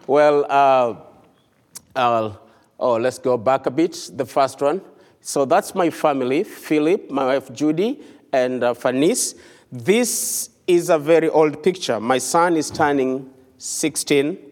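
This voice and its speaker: A man with a Kenyan accent speaks to a congregation at a middle pitch, earnest and conversational with a light touch of humor. His voice rings out with a long reverb through a large church hall. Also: slow pace, darkish tone. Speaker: visiting missionary speaker. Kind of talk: church mission report. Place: church sanctuary.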